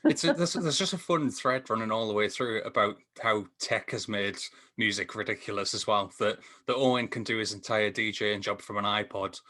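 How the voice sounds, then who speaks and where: A man on a podcast video call casually discussing films in a halting, enthusiastic way. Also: on the low side, sharp tone. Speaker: podcast reviewer.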